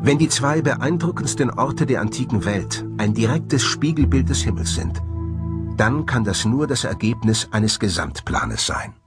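A man presenting scripted documentary narration in an expressive, dramatic manner. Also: medium pitch, measured pace, natural voice. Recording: music under the voice. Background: music.

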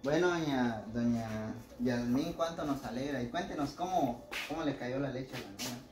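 A man's voice: mid-range voice, moderate pace. A man with a Guatemalan accent, chatting casually as the host of a visit. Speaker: charity vlog host. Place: small bare-walled bedroom indoors. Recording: echoey, distant, noisy room.

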